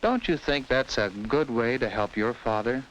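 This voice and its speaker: A man's voice: medium pitch, moderate pace, lo-fi sound, a little hiss or hum. An American man narrating a 1950s educational film in simple, instructive storytelling addressed to children, on a vintage film soundtrack.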